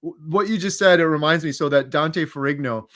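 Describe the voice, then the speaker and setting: Man, slightly high voice, interview guest, casual conversation, animated storyteller. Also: a little fast.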